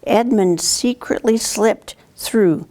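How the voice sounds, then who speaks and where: An older woman reads a picture book aloud as a guest storyteller, in an expressive, deliberate way. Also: a little high. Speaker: town councillor reading to students. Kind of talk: read-aloud storybook narration. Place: indoors, library corner with bookshelves.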